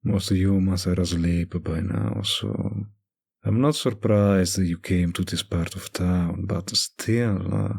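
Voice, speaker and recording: An ancient male vampire tending bar, played by a man in an intimate audio roleplay. His voice is low and husky, and he drawls extremely slowly. He teases the listener with a smug, predatory charm. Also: expressive, a little mellow, full character voice. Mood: sympathetic, admiring. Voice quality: breathy, gravelly low voice. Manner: slow, teasing drawl with long pauses. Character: centuries-old male vampire bartender, teasing, predatory, smugly charming.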